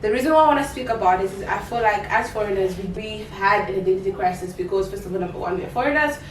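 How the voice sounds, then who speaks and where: A woman's voice: medium pitch, fast, far from the mic, a little hiss or hum. Young woman co-host in an echoey room. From Zambia. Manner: open, reflective storytelling. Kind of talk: casual chat. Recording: echoey room, off-mic, faint music.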